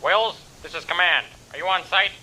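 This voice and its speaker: A man playing a stern, cautious park service boss in an audio drama, official in manner with halting hesitations. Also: sits high, sharp tone, a little hiss or hum, poor audio.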